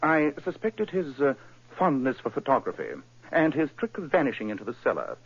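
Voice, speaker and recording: A crisp, commanding detective in a vintage radio play. His husky voice rises and falls in wide lively swings. The broadcast sounds muffled and dull. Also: mid-range voice. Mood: explaining. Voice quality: crisp, authoritative, brisk. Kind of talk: 1940s radio acting.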